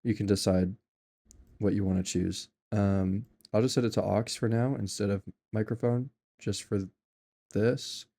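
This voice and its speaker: A man talking through a software tutorial in an offhand, rambling way, with many ums and self-corrections. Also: lowish voice, steady tone, measured pace, vocal fry. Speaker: tutorial maker. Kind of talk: casual screen-recorded software tutorial.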